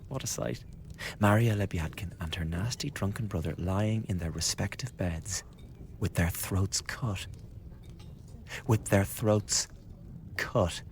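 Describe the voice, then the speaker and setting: An actor playing a glib, scheming young revolutionary in a radio drama, chatty and insinuating with a blackly flippant manner. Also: male voice, sits low, slow, long pauses, a little mellow, slight background noise. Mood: mock-sorrowful, sly. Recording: studio radio drama with background ambience.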